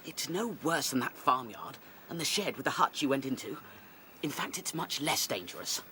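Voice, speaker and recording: A man playing a small, plucky young rabbit in a radio drama, encouraging and quick. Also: medium pitch, big pitch swings, sharp tone, a little hiss or hum. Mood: eager.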